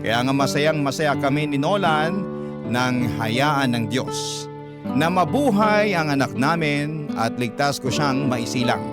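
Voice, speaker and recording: A man with a Filipino accent, a radio host narrating a listener's letter in dramatic storytelling style. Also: mid-range voice. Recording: loud plucked guitar music under voice.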